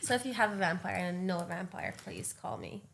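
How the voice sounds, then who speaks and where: A woman's voice: on the low side, huge pitch swings, fairly quick, creaky, fairly bright tone, slight background noise. A woman, a native Farsi speaker, chatting as a podcast guest, enthusiastic and giggly. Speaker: actress and filmmaker, guest. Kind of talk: casual chat.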